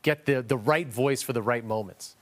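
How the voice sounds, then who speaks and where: A man's voice: mid-range voice, moderate pace. A man hosting a broadcast interview with a polished presenter's delivery. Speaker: TV news interviewer.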